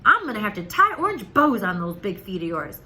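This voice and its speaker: A woman voicing a brisk, good-humored female ballet teacher calling out in a children's storybook read-aloud. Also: medium pitch, sing-song, bright, forceful tone. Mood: teasing. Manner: emphatic, calling out.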